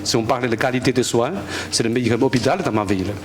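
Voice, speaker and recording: A man from China with a Chinese accent, a guest surgeon speaking halting, simple French. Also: mid-range voice. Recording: noisy room.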